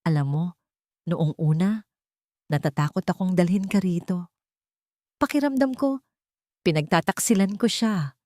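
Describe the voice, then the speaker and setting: A woman's voice: fairly low pitch, a little slow, long pauses, darkish tone, dry recording, studio quality, lightly acted. Woman voicing an earnest, tender widowed father. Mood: earnest, reflective.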